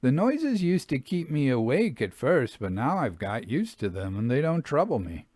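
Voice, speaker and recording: A man's voice: medium pitch, big pitch swings, normal speed, darkish tone, no room sound, clean studio sound. A man voicing a plain-spoken, hard-working country farmer in a storybook, friendly and matter-of-fact, with rustic grammar.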